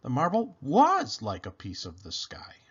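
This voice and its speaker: A man reading a children's story aloud in an expressive, animated, deliberate way. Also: mid-range voice, breathy edge, muffled recording. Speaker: storybook read-aloud host.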